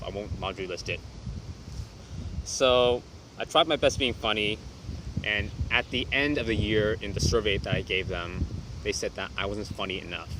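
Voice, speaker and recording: A young man who teaches English, talking through a reflective vlog in a quiet, reserved way with hesitant restarts. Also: fairly low pitch, noisy background.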